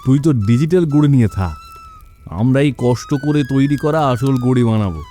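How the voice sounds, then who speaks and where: Man as a mellow, scoffing village craftsman. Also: mid-range voice, lively intonation, a little fast, faint music behind, lightly acted. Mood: scornful disbelief. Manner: scoffing, plain-spoken, chiding. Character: hardworking, scoffing traditional village jaggery maker, older brother type. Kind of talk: animated cartoon dialogue.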